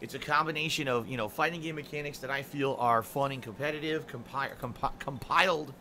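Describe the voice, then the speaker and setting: A man's voice: mid-range voice, pushed and bright, a little hiss or hum. A middle-aged man streaming games live, rambling and complaining in a casual monologue full of fillers. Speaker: game streamer.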